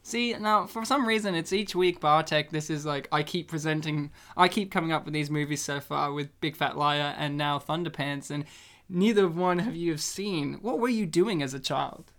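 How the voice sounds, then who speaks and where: A man's voice: a little high, moderate pace, a bit bright. A man hosting a casual movie podcast, talking in a jokey, rambling way and laughing often. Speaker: podcast host.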